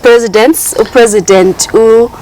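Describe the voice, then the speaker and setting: A woman's voice: fairly high pitch, unhurried, a little mellow, slight background noise, poor audio. A woman with a South African accent hosting a casual interview, chatty and friendly. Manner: chatty, friendly, laughs easily. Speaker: host.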